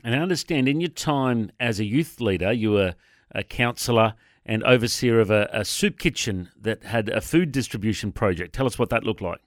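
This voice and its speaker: A man's voice: fairly low pitch, expressive, moderate pace, no echo, clean studio sound. A man hosting a radio interview who keeps things moving with short, simple questions. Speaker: radio show host.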